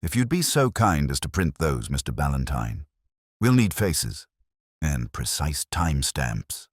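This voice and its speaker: A shrewd police inspector, voiced by a male narrator, speaks very low and slowly. He is calm and understated, with dry wit and lively inflection, as in a mystery story. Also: husky, no echo, heavily acted. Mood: calm, deliberate. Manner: slow, calm, measured, understated.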